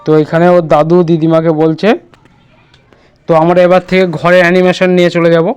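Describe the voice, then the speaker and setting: A man's voice: a little high, level tone, a little fast, darkish tone, slight background noise. A man presenting a casual step-by-step screen tutorial, instructing and often checking that the listener follows.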